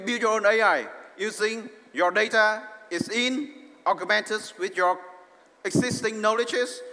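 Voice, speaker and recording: A man in his forties with a Vietnamese accent, giving a corporate keynote on a big stage through a headset mic. He is earnest and halting, with frequent restarts. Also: high voice, bright, forceful tone. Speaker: keynote speaker. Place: large arena stage. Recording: echoey hall, long reverb.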